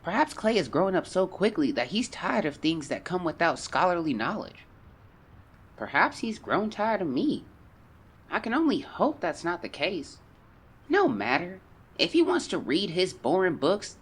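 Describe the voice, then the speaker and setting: Melodramatic child diarist, 1910s adult actor; husky voice, very varied intonation, old-fashioned formal diction. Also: mid-range voice, normal speed. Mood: sulky, hurt. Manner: formal, plaintive, expressive. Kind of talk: audio drama diary reading, old-fashioned diction.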